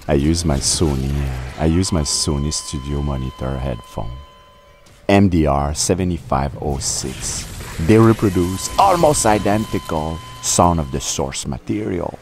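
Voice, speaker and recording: Guy reviewing a game, real low voice, takes his time, faint music behind. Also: expressive, a little slow. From Canada. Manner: playful, self-mocking, with sudden exaggerated shouted bursts. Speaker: host of game walkthrough channel. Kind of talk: casual spoken game review.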